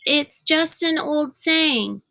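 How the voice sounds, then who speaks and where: Woman voicing an anxious boy, pitched high and bright. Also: lively intonation, very slow, vocal fry, no echo, exaggerated voice. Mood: earnest. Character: anxious, cautious, earnest young boy. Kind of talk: children's storybook read-aloud.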